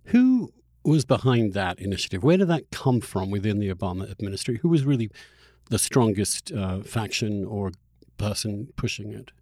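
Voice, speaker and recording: A man's voice: on the low side, sing-song, moderate pace, warm tone, no echo, studio quality. A man hosting a conversational podcast interview, asking long questions that he rephrases as he goes.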